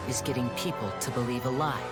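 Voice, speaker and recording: A male actor playing a sly stage magician in a game trailer, speaking with theatrical, deliberate showmanship. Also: mid-range voice, close to an everyday voice. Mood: confident, mysterious. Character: smooth, sly male stage magician explaining illusion and control. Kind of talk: scripted game trailer monologue. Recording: loud music behind.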